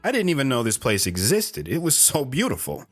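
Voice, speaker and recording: A man playing a shy, hesitant wanderer who narrates his own story in an audio drama, reflective in tone, with soft guitar underneath. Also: mid-range voice, huge pitch swings, a little fast, slightly rough. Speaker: narrator and guest.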